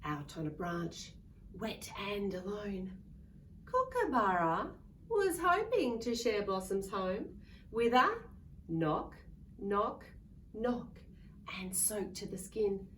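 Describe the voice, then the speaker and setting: A woman's voice: mid-range voice, very animated, slow pace, pauses often, fairly bright tone. A woman reading a picture book aloud to young children in a sing-song way, coaxing them to join in. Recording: distant mic with faint background noise.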